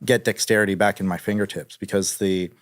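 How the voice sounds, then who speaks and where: A man's voice: on the low side, fairly even intonation, fairly quick, no echo, studio quality. A man from Miami, Florida, speaking as an interview guest, telling casual anecdotes in informal, slangy language. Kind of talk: casual conversational storytelling.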